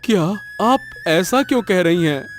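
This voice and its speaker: Guy doing a grieving village dad for a cartoon story, highish voice, slow, super melodramatic and repeating himself. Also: expressive, over music. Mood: pleading. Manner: pleading, overwrought, repeats words. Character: desperate, grieving village father of a young boy.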